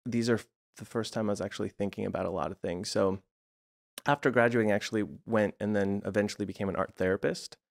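A man in his forties with a lowish voice, raised in Los Angeles, whose first language was Croatian. He talks as an interview guest, reflective and meandering, thinking aloud and circling back with plenty of fillers. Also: fairly even intonation, fast talker, gravelly. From United States (Los Angeles area). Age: 40s. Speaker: guest, art therapist. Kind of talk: casual conversational interview.

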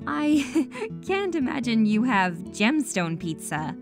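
A woman's voice: fairly high pitch, slow, full character voice. A woman playing a teasing, giggly young unicorn student in human form for a fan fiction audio drama. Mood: curious, amused. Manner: animated, amused. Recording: music playing behind voice.

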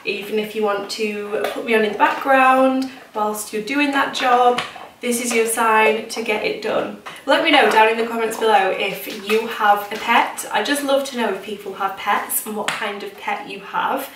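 A woman's voice: a little high, fairly even intonation, normal speed. A woman in her thirties with a British accent, chatting casually and informally to camera as a home vlogger. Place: indoors in a home kitchen and small utility room. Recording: echoey room, distant mic, noisy background.

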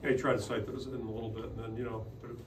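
An older man speaks as a head coach at a postconference podium, plain-spoken and full of restarts. His pitch stays flat and even. The room is echoey with steady noise behind, and the mic sits a little off. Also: very fast. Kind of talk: postgame press conference answers. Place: press room in front of a logo backdrop. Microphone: podium microphone. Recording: roomy, slightly distant, noisy.

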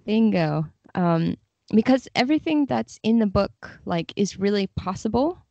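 A woman's voice: mid-range voice, lively intonation, a little slow, vocal fry, a little mellow, dry recording, dull audio. A woman chatting informally and enthusiastically about fiction as a podcast guest. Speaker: podcast guest, literary translator. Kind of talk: casual chat about fiction.

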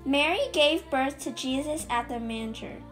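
High-voiced woman narrating a children's story. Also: normal speed, faint music behind, poor audio. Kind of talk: scripted narration of a children's Bible puppet show.

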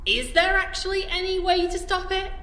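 A woman's voice: very high voice, normal speed, pushed and bright. A woman playing a plucky, blunt young companion to a hero in an audio drama. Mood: questioning. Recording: echoey room, faint music.

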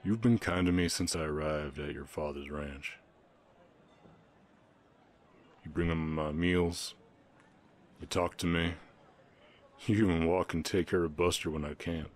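A man voicing a shy, gentle orc cowboy in love, with a slight Southern American accent and a halting delivery, in an ASMR roleplay. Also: low-pitched, fairly even intonation, close to an everyday voice. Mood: reluctant, hesitant. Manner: hesitant, halting, bashful.

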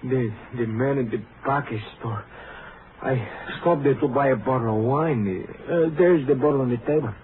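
An actor playing a nervous Mexican farmhand with a Mexican accent, stammering deferentially in a 1950s radio drama. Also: male voice, mid-range voice, moderate pace, natural voice. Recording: muffled, hissy old radio recording.

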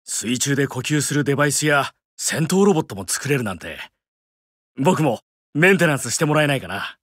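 Lively, friendly hunky adventurer. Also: male voice, mid-range voice, brisk, breathy edge, no echo, studio quality. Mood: friendly, admiring. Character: friendly, earnest muscular adult male adventurer. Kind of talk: anime mobile game voice acting.

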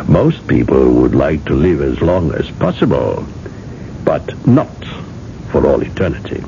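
An actor playing a mysterious, unhurried seller of eternal life in a radio drama, measured and gently amused. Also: male voice, extremely low pitch, sing-song, gravelly, heavily acted. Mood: enigmatic, amused. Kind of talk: 1980s radio drama acting. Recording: dull, hissy old radio recording.

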